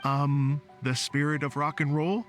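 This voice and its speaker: A man's voice: fairly high pitch, steady tone, unhurried, over loud music, natural voice. A man playing an upbeat, easygoing rock musician with a showman's manner in a video game. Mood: earnest.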